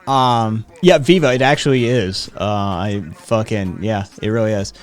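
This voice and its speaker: A man's voice: on the low side, normal speed. A man hosting a live-streamed talk show, speaking casually with many hesitations and answering viewers' comments. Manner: hesitant, many "um"s, reads chat aloud. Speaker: podcast host. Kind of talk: casual chat.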